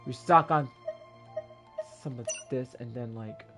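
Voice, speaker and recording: A man chatting casually as a game livestreamer, rambling in a halting way and repeating himself. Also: mid-range voice, over music.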